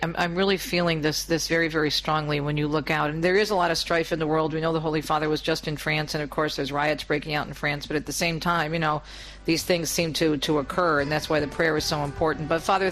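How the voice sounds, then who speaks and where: A man's voice: a little high, fairly even intonation, fast talker, fairly bright tone, poor audio. An enthusiastic radio host chats with his guest in an easy, conversational way. Faint music sits behind his voice. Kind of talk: radio interview.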